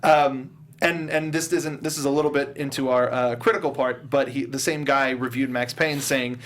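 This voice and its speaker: A man's voice: medium pitch, fairly even intonation, moderate pace, fairly bright tone, a little hiss or hum. A man hosting a casual panel discussion about movies, talking in a joking, sarcastic way. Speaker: host of a movie review show.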